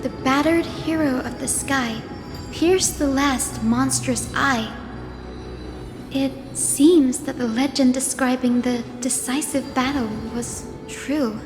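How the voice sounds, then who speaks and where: A woman playing an earnest, gentle young priestess in a fantasy video game, speaking politely and resolutely in measured phrases. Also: high voice. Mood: curious, thoughtful. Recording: echoey hall, music behind.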